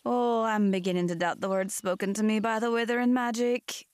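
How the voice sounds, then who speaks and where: A woman's voice: a little high, fairly even intonation, a little fast, smooth, dry recording, lightly acted. Dignified female selkie elder, acted by a woman. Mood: earnest. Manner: formal, earnest. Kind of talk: fantasy audio drama acting.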